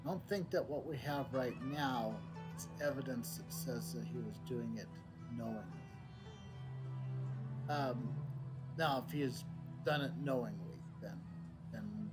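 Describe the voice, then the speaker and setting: A man streaming a video game, reasoning aloud in a halting, deliberative way as he comments on it. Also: medium pitch. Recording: loud game music behind the voice.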